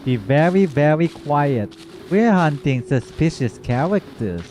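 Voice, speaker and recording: A man's voice: a little high, big pitch swings, measured pace, darkish tone. A man doing casual playthrough reaction commentary, goofy and theatrical, rambling and slipping into sing-song. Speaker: gaming YouTuber. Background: faint game music.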